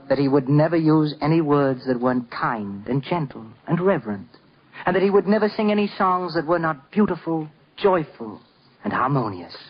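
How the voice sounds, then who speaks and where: A man playing a tender, theatrical storyteller, narrating a scripted 1950s radio drama in a deliberate, expressive way. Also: a little high, lightly acted. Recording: dull 1950s radio recording. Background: music.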